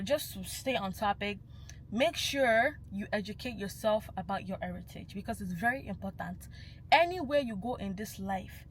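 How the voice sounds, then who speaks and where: A woman's voice: on the high side, slight background noise, low-quality recording. Passionate young woman. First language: Yoruba. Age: young adult. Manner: passionate and emphatic, slipping into Yoruba phrases. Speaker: vlogger. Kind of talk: casual vlog monologue.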